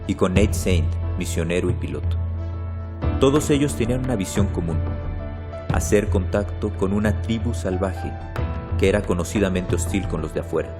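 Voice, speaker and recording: A man narrating a documentary in a measured, solemn scripted delivery. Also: sits low. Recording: clear music bed under the voice.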